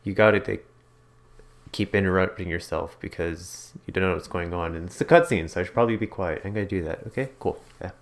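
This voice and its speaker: A young man giving casual, rambling commentary while playing a game, in a fairly monotone way. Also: deep voice, quick pace. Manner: rambling, self-described monotone. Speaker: let's play host.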